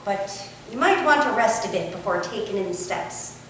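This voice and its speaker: An actress playing a curious, modest young Victorian housemaid with a colloquial, deferential way of talking, in a staged reading. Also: female voice, medium pitch, animated, moderate pace, fairly bright tone, close to an everyday voice. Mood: helpful, wistful. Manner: colloquial servant dialect, deferential. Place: indoor staged reading, distant microphone. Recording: echoey room from far off, faint noise.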